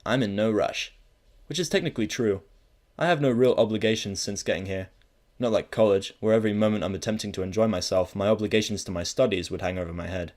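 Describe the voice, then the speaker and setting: Low-voiced young man, first-person storyteller, dry and sarcastic delivery. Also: quick pace, darkish tone. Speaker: narrator. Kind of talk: first-person audiobook narration.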